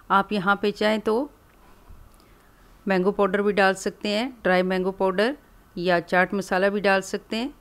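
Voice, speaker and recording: A woman from Pakistan hosting a cooking channel, calmly explaining each step of a recipe in a voice-over. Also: mid-range voice. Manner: calm, instructive, explains each step plainly.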